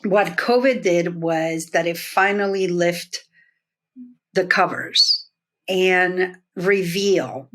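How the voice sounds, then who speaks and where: A woman's voice: lowish voice, slow pace, fairly bright tone. A middle-aged woman, a nurse leader from Puerto Rico who grew up in New Jersey, speaking reflectively as an interview guest with many fillers and restarts. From Puerto Rico, raised in New Jersey. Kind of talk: interview conversation.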